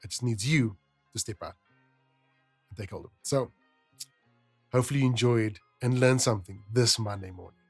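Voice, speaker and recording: A man's voice: mid-range voice, moderate pace, long pauses, breathy edge, no echo. Male podcast host, mid-range voice, speaking to listeners over light background music. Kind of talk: podcast narration and informal address to listeners. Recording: music bed behind the voice.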